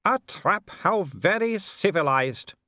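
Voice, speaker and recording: A man voicing a gloating male werewolf villain in an audiobook, taunting with drawn-out, mocking courtesy. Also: high-pitched, animated, bright, forceful tone, no echo, studio quality, pitched above the performer's own voice, exaggerated voice. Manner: taunting, drawn-out mock politeness.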